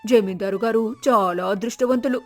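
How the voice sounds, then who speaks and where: A woman's voice: fairly high pitch, moderate pace, faint music behind. Woman voicing a gullible, gushing village neighbour woman. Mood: feigned awe.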